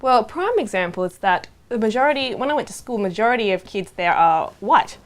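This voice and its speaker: A woman's voice: on the high side, big pitch swings, brisk. A young woman with an Australian accent, an actor chatting casually in an interview, speeding up when she makes a point. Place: indoor living room by a window.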